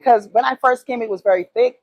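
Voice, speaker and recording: A woman's voice: a little high, fast, no room sound. A woman in her forties from Guyana with a Guyanese accent, answering interview questions in an earnest, emphatic way. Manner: earnest, emphatic, repeats key phrases. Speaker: guest, esthetician and business owner.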